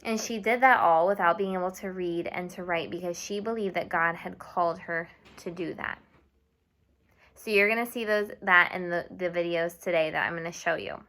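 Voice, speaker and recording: A young woman teacher giving a video lesson to children, speaking slowly and clearly and switching between English and Spanish. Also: on the low side, a bit bright. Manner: slow and clear, mixing English and Spanish, asks students to repeat. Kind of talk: classroom video lesson for kids.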